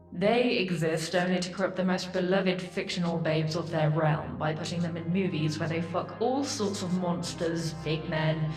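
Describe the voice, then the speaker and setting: Game casting: actress as seductive succubus demon, condescending and theatrical, roomy sound with music behind. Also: female voice, fairly low pitch, a little mellow, far from the mic, lightly acted. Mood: scornful. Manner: sardonic, florid, condescending, swears freely. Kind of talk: video game character acting.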